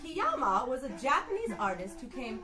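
A woman's voice: sing-song, normal speed. A woman playing a flamboyant vaudeville emcee in a live staged musical reading, announcing in a grand, theatrical way. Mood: grandiose. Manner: booming theatrical announcing, rolling lists of mock insults. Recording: distant stage mic with room noise.

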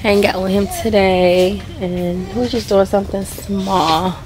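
A woman's voice: mid-range voice, fairly even intonation, a little slow, noisy background. A young woman who has lost most of her voice, chatting casually to her phone camera at arm's length while vlogging her day. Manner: chatty, talks straight to the camera. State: hoarse, losing her voice. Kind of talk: casual vlog chat. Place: shops, restaurants and home, indoors and out. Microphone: handheld phone at arm's length.

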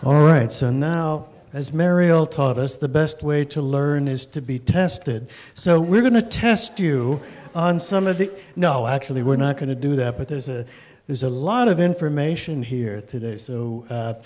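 A man's voice: a little high, unhurried, a little mellow. A man moderating an audience question session in a lecture hall, joking loosely and restarting his sentences, speaking into a handheld mic. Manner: jokey, loose, restarts often. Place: wood-panelled lecture hall.

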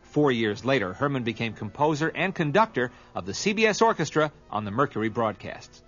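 A man's voice: medium pitch, big pitch swings, brisk, fairly bright tone, muffled recording, faint music behind. A man reading scripted documentary narration as the narrator.

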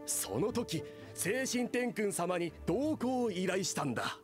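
A man's voice: sits high, lively intonation, slow pace, over music. A man playing a confident merchant and devout believer, explaining in an assured anime game style. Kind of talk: Japanese anime game acting.

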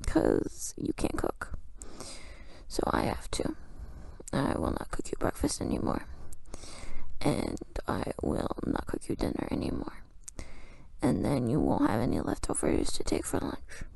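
A woman's voice: extremely low pitch, wildly swooping pitch, a little slow, creaky, long pauses, mellow, slightly rough, no room sound, exaggerated voice. A woman playing a clingy, playfully bossy girlfriend in an improvised ASMR roleplay, murmuring drowsily close to the mic and often dropping to a whisper. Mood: playfully threatening. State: sleepy.

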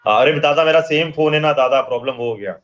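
A man's voice: on the high side, fast, fairly bright tone, dull audio. A young man with an Indian accent bantering casually as a teammate in a game voice chat, with faint noise on the line. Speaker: gaming teammate.

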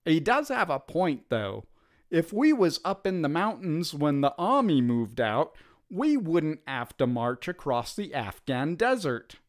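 A man voicing an uneducated, wary British soldier with rough grammar in a storybook reading. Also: on the high side, sing-song, a little slow, voice pushed up. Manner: rough ungrammatical speech.

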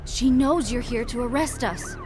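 A woman's voice: high-pitched, huge pitch swings, normal speed, background noise. A woman playing an anguished, frightened young possessed woman, pleading, in a video game. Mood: fearful warning. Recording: music behind studio game voice.

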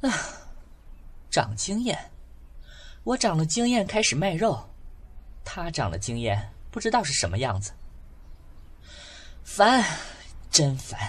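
Young street hustler guy voiced by a woman, blunt, protective, hot-tempered, lowish voice with lively intonation, for audio drama. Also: slow pace, long pauses, a little hiss or hum, heavily acted. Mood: bitter. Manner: emotional, blunt, slangy. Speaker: voice actor.

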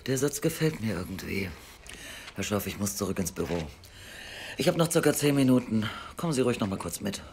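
Stern, guarded welfare official, radio drama; lively intonation, official reproachful manner, faint noise. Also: female voice, rumbling low, a little fast, exaggerated voice. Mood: stern, doubtful. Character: stern, guarded female youth welfare official under pressure. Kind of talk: naturalistic German radio drama acting.

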